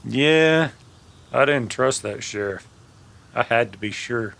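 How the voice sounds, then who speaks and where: A man's voice: medium pitch, takes it slowly, vocal fry, fairly bright tone. A man playing a blunt, plain-spoken bounty hunter in an old-time western radio drama. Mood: matter-of-fact.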